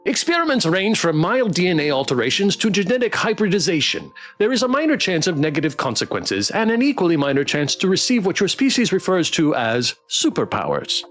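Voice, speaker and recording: A man's voice: high-pitched, very animated, fairly quick, few pauses, a bit bright. A man voicing a bureaucratic space alien reading an official letter in a formal, matter-of-fact way for a game. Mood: formal, salesy.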